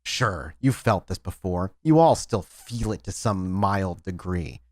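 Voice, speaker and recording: Low, dramatically swooping male storyteller. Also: animated, unhurried, no room sound. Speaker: storyteller, game master. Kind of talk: dramatic narration of a tabletop horror game.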